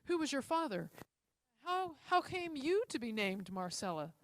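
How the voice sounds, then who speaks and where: A woman's voice: high voice, very animated, unhurried, slight background noise, voice pushed up. A woman voicing a dignified, kindly old male judge in an emphatic storybook reading. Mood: agitated.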